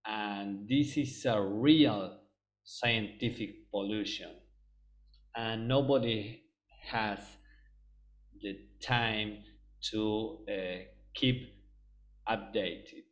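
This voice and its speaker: A low-pitched man with an Argentine Spanish accent presents unhurriedly over a video call. He speaks English as a second language, pausing often with ums and restarting his phrases. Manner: hesitant, many ums and restarts. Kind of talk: webinar presentation.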